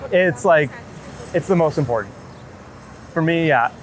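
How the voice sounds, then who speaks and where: A man talking casually and earnestly as an interviewed photographer, rambling in a halting way. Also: fairly high pitch, lively intonation, some chatter behind, poor audio. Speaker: street and wedding photographer being interviewed. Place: outdoors on a city street. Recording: street noise, passing traffic.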